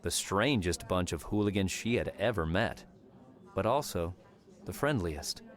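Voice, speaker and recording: A man narrating a novel as an audiobook, in a measured storytelling manner. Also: low voice, animated. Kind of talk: scripted fiction narration.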